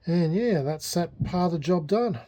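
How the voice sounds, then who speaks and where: Australian male hobbyist vlogger, relaxed dark tone, hesitant and unscripted delivery. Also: fairly high pitch. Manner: halting, hesitant, unscripted delivery with many pauses. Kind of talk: casual restoration progress vlog.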